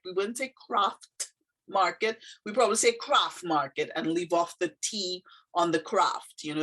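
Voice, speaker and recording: A woman's voice: fairly low pitch, a bit bright, rough recording. A woman from Trinidad with a Trinidadian accent and a slight Canadian tinge, a poet explaining her poem in a thoughtful, hesitant way with many fillers. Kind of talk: casual explanatory talk.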